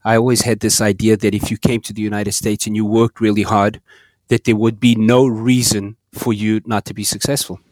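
A man's voice: fairly low pitch, flat delivery, a little fast, a little mellow, dry recording. Earnest man guest, repeating words. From South Africa. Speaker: guest, store operator. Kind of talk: podcast interview.

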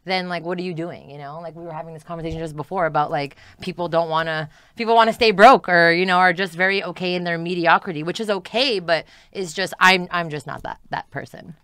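Young woman, podcast guest, from Montreal, low-pitched, smooth clear voice, dry close mic. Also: quick pace, few pauses, a bit bright, no echo. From Montreal, Canada. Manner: chatty, frequent "like" and "you know", easy laughs. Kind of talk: casual interview chat.

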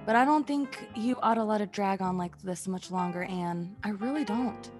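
A woman playing a gently sceptical, concerned friend and narrator in a classic radio suspense play. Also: mid-range voice, normal speed, loud music behind the voice, close to an everyday voice. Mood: curious, gently doubting.

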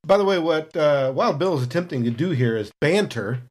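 A man's voice: fairly high pitch, expressive, brisk, darkish tone. A man speaking casually as a player in a tabletop role-playing game, explaining his character's moves.

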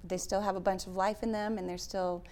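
A woman's voice: mid-range voice, little pitch movement, fairly quick, a little mellow. A woman hosting an interview, asking reflective, chatty questions. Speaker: interview host. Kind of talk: casual interview conversation.

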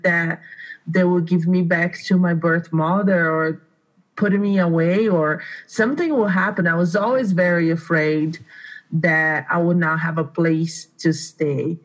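A woman's voice: on the low side, rough recording. A woman in her thirties from Brazil, speaking English with a Brazilian Portuguese accent, telling her life story earnestly as a radio interview guest. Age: 30s. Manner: earnest, heartfelt storytelling with frequent restarts. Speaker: guest, art studio owner.

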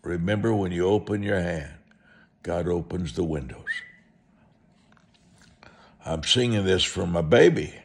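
An American man preaching a motivational sermon and telling stories in a deliberate, emphatic way, repeating short phrases. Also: low voice, creaky.

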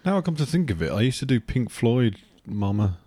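A man in casual chat, making dry, deadpan quips. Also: medium pitch, brisk, husky.

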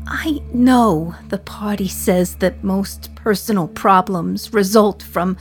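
A woman's voice: a little high, dark tone. An actress in her thirties playing a condemned woman in prison, reflecting in a wry, sardonic radio-play monologue.

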